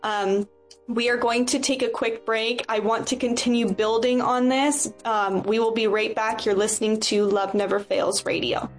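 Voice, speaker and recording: A woman hosting a radio interview, measured and affirming as she reflects back and poses questions. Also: a little high, steady tone, faint music behind. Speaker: radio show host.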